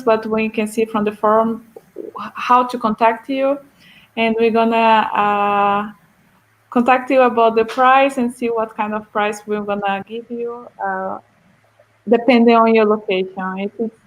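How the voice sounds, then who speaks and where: A woman from Brazil, co-organizing an online event on a video call, cheerful and quick to laugh. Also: a little high, low-quality recording.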